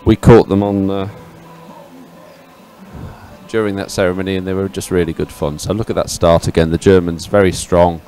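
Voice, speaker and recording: A man with a fairly low voice commentates live on sport. He talks in a chatty, hesitant way, pausing often as he ad-libs, with some music underneath. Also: little pitch movement, mellow. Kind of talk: live race commentary, partly ad-libbed. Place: live broadcast at an outdoor regatta venue.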